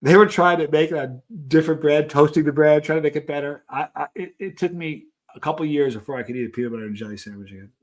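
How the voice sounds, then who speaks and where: Middle-aged male streamer, voice a little on the high side, informal chat with viewers, animated anecdote teller. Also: fast, hardly any pauses. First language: English. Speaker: live stream host. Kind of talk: casual chat answering live viewers, telling travel anecdotes.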